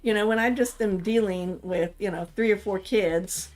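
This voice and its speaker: A woman's voice: medium pitch, moderate pace, a little mellow. Middle-aged woman, mother, casual rambling chat, frequent "you know", faint music behind. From Washington, D.C. area. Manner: rambling, thinks aloud with many "you know"s. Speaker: mother of the streamer. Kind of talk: casual chat about parenting.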